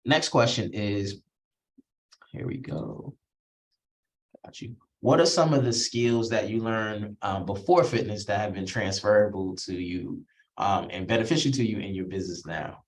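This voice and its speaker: Man, interview host, low-ish voice, laid-back, conversational, long winding questions. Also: a little slow. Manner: relaxed, conversational, frequent "right?" and "you know".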